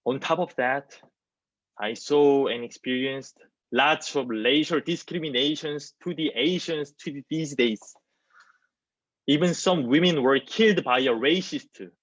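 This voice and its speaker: A man's voice: mid-range voice, a bit bright, no room sound. Young Korean-accented man, dull lo-fi sound. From South Korea. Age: 20s. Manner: halting English with pauses. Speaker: student actor. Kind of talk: dramatic reading of self-written monologue. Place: small plain indoor room. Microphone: wireless earbuds on a video call. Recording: dull, lo-fi video-call audio.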